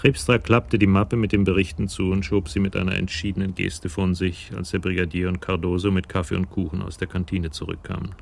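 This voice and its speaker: Deep, creaky-voiced male narrator. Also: level tone, a little fast, few pauses, slight background noise. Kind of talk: scripted narration of a radio crime drama.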